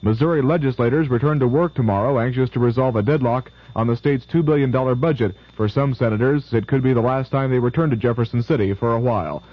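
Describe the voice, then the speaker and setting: A man's voice: medium pitch, fast talker, few pauses. Male local newsreader, muffled old radio. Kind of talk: newscast reading.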